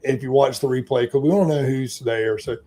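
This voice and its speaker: Mellow-voiced enthusiastic male host. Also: medium pitch, a little fast. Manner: enthusiastic, rambling questions. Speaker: podcast host. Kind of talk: interview hosting.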